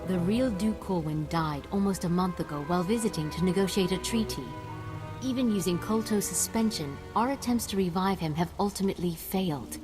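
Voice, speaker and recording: Casting: woman as calm aristocratic female negotiator for a game, lowish voice, formal and measured, over music. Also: natural voice. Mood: calm, grave. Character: composed, diplomatic noblewoman official negotiating calmly. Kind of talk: video game voice acting.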